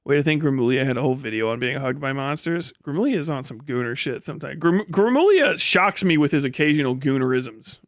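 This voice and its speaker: A man's voice: medium pitch, animated, quick pace, few pauses, dry recording, studio quality. An American man streaming live, chatting casually with his audience in a blunt, profane, teasing way. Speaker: live streamer and host reading chat. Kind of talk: casual livestream chat with joking rants.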